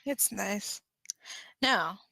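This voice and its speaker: A woman voicing a nervous, excited young man in an amateur fan fiction drama, with a casual, deadpan, unhurried delivery. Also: medium pitch, gravelly, no room sound, full character voice, low-quality recording. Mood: dramatic, pleased.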